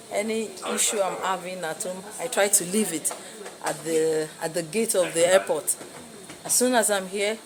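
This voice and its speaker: A Nigerian-accented woman with a fairly low voice gives interview answers as an air traffic controller. She talks about how she approaches her work. Other people can clearly be heard chattering in the background.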